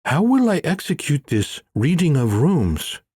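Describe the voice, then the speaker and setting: A man's voice: a little high, sing-song, measured pace, a little mellow, slightly rough, no room sound, clean studio sound, pitched above the performer's own voice, exaggerated voice. A man voicing an earnest, hopeful Indian man who speaks in stiff, over-formal sentences, as an audiobook character. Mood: hopeful, eager.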